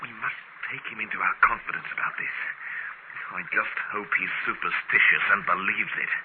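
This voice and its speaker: A man's voice: low-pitched, moderate pace, very noisy, close to an everyday voice. A man acting as a grave, haunted narrator with a solemn, dramatic delivery in an old radio drama. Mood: shaken, earnest. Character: Mark, grave haunted man narrating his dreadful secret. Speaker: narrator and lead actor. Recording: tinny vintage radio recording with music.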